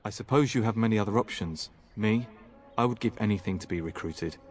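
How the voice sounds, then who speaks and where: An actor voicing an earnest, dutiful young knight in a fantasy video game, formal and courteous. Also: male voice, fairly low pitch, fairly quick, a little mellow, natural voice. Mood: earnest, respectful.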